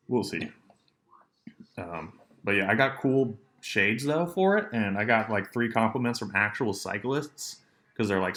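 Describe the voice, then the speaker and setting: A young man with a deep, low-pitched voice talks alone on his podcast. He rambles from one aside to the next in a dry, deadpan way, filling the gaps with plenty of ums. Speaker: solo podcast host. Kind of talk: rambling solo podcast chat.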